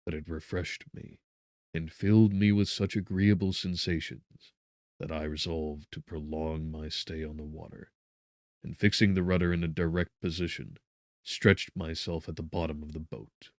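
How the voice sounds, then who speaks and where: A man narrating a gothic novel as a scripted audiobook, with measured, dramatic phrasing. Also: a bass voice, fairly even intonation, no echo, studio quality.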